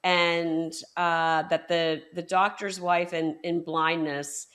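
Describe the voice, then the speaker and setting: A woman hosting a book podcast, building her questions slowly with fillers and hesitations. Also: on the low side, little pitch movement, a bit bright. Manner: measured, hesitant with fillers while framing questions. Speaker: podcast host. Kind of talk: conversational interview.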